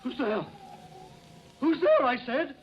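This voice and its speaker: A man's voice: extremely high pitch, wildly swooping pitch, slow, heavily acted. An actor playing a frightened old man pleading for his life in an old radio drama, his cries agitated. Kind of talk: 1940s radio drama acting. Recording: muffled vintage radio recording.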